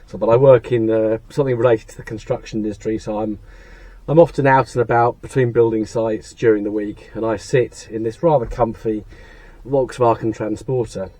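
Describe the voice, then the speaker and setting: A British man with a husky, croaky voice from laryngitis, rambling low-key to camera from the seat of his parked van. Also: steady tone, normal speed, warm tone, no echo. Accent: British English. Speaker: car channel host. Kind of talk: casual rambling chat to camera. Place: parked van cab, seated.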